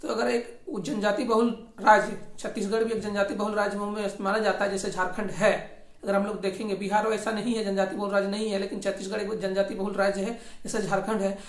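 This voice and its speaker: A speaker with a Jharkhand accent giving informal political commentary, often repeating and rewording phrases in a halting way. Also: medium pitch, little pitch movement. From Jharkhand, India. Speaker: host of a regional news commentary channel. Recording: echoey, roomy sound.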